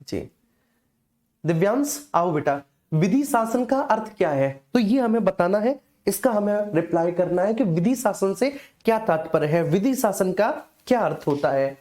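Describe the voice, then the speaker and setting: A man's voice: sits high, animated, moderate pace, darkish tone. A man teaching an informal live online exam class, instructing repetitively and often checking that students follow.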